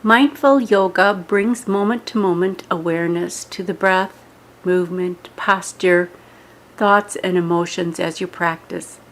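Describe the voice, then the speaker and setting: A woman giving scripted guided yoga meditation instructions in a measured way, pausing between short phrases. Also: fairly low pitch, low-quality recording.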